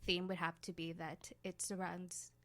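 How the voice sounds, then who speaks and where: A woman's voice: lowish voice, little pitch movement, moderate pace, a bit bright, no room sound. A woman talking casually as a guest in an interview. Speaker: guest, radio drama writer and producer.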